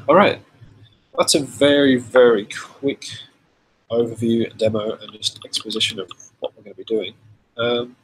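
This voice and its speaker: A man with a cold and a slightly rough voice, hosting a casual live demo and answering viewer questions in a relaxed, chatty way. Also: mid-range voice, poor audio. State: has a cold, voice a bit rough. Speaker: software developer hosting a live stream.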